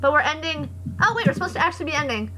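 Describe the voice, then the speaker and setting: A young woman streamer with a hoarse voice, chatting casually and reading comments aloud in a rambling, sarcastic way. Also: high-pitched, lively intonation, racing, crowd noise. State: hoarse after voice acting. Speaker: live streamer.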